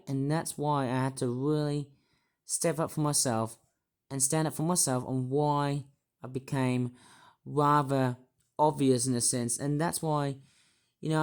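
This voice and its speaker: A man with a hoarse, croaky voice, rambling hesitantly through a personal vlog monologue with many restarts. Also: mid-range voice, a little mellow. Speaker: YouTuber.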